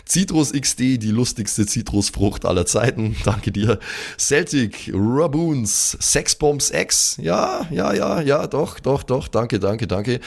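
A man's voice: lowish voice, a little mellow. A man hosts in a casual, chatty way. He speaks informally and drops in playful little asides as he goes. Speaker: podcast host. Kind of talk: casual spoken outro with thank-you roll call of supporters.

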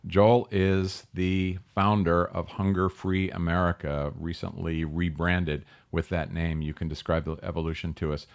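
A man with a low, deep voice hosts a talk show interview. He speaks in a relaxed, conversational way, often pausing with fillers and restarting sentences as he sets up his questions. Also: vocal fry.

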